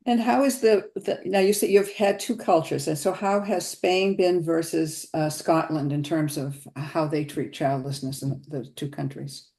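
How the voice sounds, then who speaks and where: A woman's voice: low voice, steady tone, normal speed, hardly any pauses, warm tone. A woman hosting a webinar who speaks conversationally and often murmurs agreement. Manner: conversational, with frequent agreeing interjections. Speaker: webinar host. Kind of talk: casual conversation.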